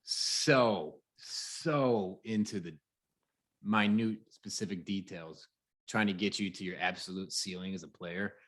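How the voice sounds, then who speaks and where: A man with a very deep voice on a casual podcast. He talks earnestly, pausing and restarting with fillers as he thinks. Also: fairly bright tone. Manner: earnest, halting, with many fillers. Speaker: podcast co-host. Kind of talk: casual chat.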